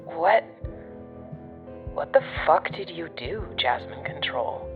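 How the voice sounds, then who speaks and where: Tough blunt guide, low voice, phone-like radio filter, incredulous audio drama delivery. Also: female voice, animated, takes it slowly, over music, full character voice. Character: tough, foul-mouthed woman guide over a watch radio. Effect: radio communicator filter. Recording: tinny phone-like radio link.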